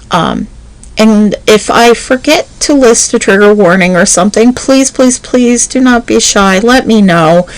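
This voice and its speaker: A woman's voice: on the low side, no echo, low-quality recording. A woman voice actor and channel host chatting casually and nervously, rambling with many fillers before her audio roleplay.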